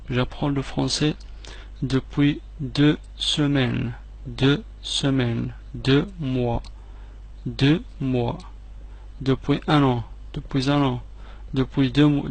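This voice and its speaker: A man teaching French phrases, repeating each one slowly and clearly, with quick asides in between. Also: medium pitch. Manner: slow, clearly separated repetitions, quick asides in another language. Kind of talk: repeat-after-me language drill.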